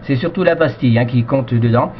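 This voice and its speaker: A man's voice: mid-range voice, fairly quick. A man from France, an amateur radio operator, chatting casually over the radio in a rambling way full of verbal tags, on a headset microphone. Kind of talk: casual radio chat, unscripted. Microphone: cheap headset microphone. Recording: dull, noisy radio transmission.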